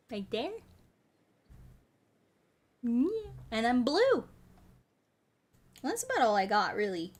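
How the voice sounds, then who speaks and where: A woman livestreamer chatting casually and playfully with viewers, rambling along and often repeating short phrases. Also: fairly high pitch. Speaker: VTuber streamer chatting with viewers. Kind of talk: casual livestream chat over a game. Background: music.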